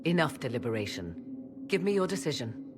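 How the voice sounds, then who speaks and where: Proud sorceress scholar, low voice, sweeping intonation, formal theatrical diction, fantasy game drama. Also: female voice, sing-song, brisk, background noise. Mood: resolute. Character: proud, eager sorceress scholar of ancient lore.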